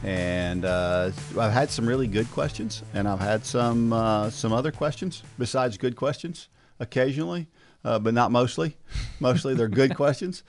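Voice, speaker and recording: A man's voice: mid-range voice, moderate pace, no room sound, faint music behind. A man hosting a casual talk show, chatty and encouraging, often restarting his sentences and tagging them with "right?". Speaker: podcast host. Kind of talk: casual chat.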